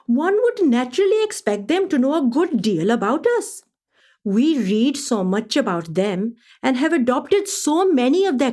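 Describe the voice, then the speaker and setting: Lively, high-voiced genteel woman traveller. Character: Indian woman traveller of the early 1900s, observant, amused, genteel. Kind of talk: radio play monologue, reading a travel account.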